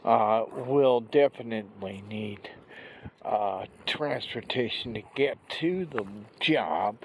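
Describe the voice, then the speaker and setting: A man with a gravelly voice from a stroke, talking slowly and haltingly in a casual vlog while out walking, recorded on a handheld phone. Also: medium pitch, slight background noise. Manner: rambling, many pauses and restarts. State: recovering from a stroke, slow effortful speech. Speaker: vlogger. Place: outdoors on a walk.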